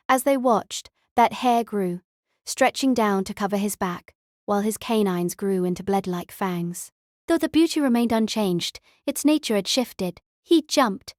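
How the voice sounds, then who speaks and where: A woman's voice: mid-range voice, a little mellow, no room sound, clean studio sound. A woman reading scripted audiobook narration of a story as its narrator.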